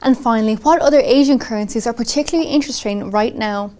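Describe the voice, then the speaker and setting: Fast-talking, higher-pitched woman interviewer. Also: mellow. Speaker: journalist and interviewer. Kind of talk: scripted broadcast interview questions.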